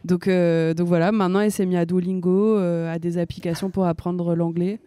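A young woman from France talks softly in a relaxed, unhurried way. Her voice sounds warm and mellow. She often pauses and restarts as she searches for words. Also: fairly low pitch, smooth. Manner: soft-spoken and hesitant, with many fillers and restarts. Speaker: singer-songwriter guest. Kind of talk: casual interview talk.

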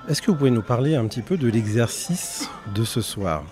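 A man interviewing poets, asking long, measured, winding questions. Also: mid-range voice, expressive, darkish tone, faint music behind. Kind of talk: radio-style interview questions.

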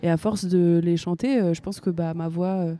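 Young woman, from France, singer guest, mellow tone, hesitant, soft-spoken, many fillers. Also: on the low side, fairly even intonation, moderate pace. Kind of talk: casual interview talk.

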